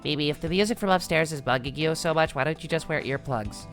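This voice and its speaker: A man reading aloud the lines of a young woman's calm, offhand sister, in a low, dry way. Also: fast, faint music behind. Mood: deadpan.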